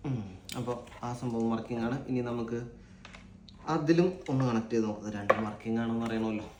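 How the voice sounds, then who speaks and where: A man's voice: mid-range voice, fairly even intonation, a little fast. A Malayali man hosting a casual unboxing vlog, informal and a little hesitant as he thinks aloud. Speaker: vlog host. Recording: echoey room with faint background noise.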